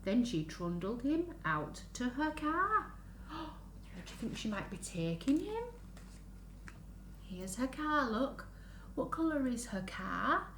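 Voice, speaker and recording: A woman reads a picture book to little children in a slow, sing-song voice that rises and dips widely. She often turns to the listeners with simple questions. The room is echoey, with faint noise behind her. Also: a little high, very animated, a bit bright. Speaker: children's story reader. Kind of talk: picture-book read-aloud for young children. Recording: roomy echo, faint background noise.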